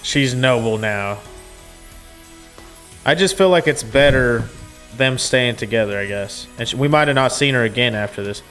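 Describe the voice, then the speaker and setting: A man's voice: medium pitch, moderate pace, fairly bright tone, faint music behind. A man reading a visual novel aloud as a gaming streamer, in a storytelling style with casual asides.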